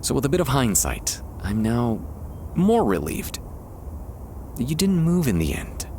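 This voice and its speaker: A man playing a shy, tender young man confessing love to a childhood friend, hesitant and soft-hearted, in an intimate ASMR roleplay. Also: mid-range voice, wildly swooping pitch, slight background noise. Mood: fond, nostalgic. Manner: soft-hearted, hesitant, halting with nervous chuckles. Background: quiet park ambience.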